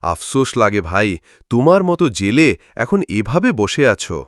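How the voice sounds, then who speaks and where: A man's voice: mid-range voice, big pitch swings, normal speed, dry recording, clean studio sound, natural voice. A man playing a friendly, wistful village fisherman in a cartoon, with a rural Bangladeshi Bengali accent. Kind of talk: cartoon fairytale voice acting.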